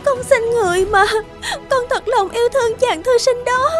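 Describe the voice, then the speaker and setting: A woman acts out a young fairy princess from a cartoon heaven, in a very high voice. She is tender and lovelorn, yet stubborn, and speaks with pleading emotion over background music. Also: moderate pace, a bit bright.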